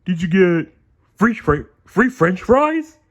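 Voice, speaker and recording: A man's voice: high-pitched, very animated, takes it slowly, voice pushed up, full character voice. A man voicing a dim, cheerful, food-loving starfish in a goofy, exaggerated storybook voice. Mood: goofy, eager. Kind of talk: children's storybook cartoon voice.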